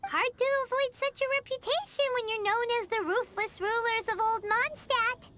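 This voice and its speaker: A woman voicing a tiny childlike fairy companion in an anime game, squeaky and excitable. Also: normal speed. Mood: flustered, insistent. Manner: excitable, third-person self-reference. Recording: loud game music underneath. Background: loud game music.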